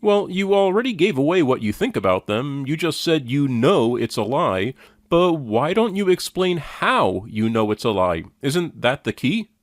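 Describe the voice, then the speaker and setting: A man's voice: mid-range voice, very animated, unhurried, no room sound, clean studio sound. A man giving critical commentary in a skeptical, sardonic and challenging manner. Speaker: commentator reviewing and rebutting a lecture.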